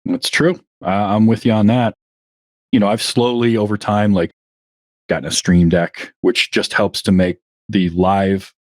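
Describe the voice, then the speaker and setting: Relaxed low-voiced man, dry sound. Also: dry recording. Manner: relaxed, chatty, frequent fillers. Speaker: podcast guest. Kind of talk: casual interview chat.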